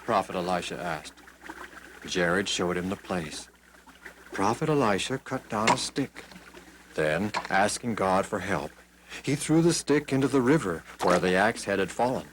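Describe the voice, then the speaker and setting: A man reading children's Bible stories as scripted narration, dramatic and expressive, acting out the dialogue. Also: low-pitched, unhurried, a little hiss or hum, rough recording. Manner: animated, dramatic, voices the characters.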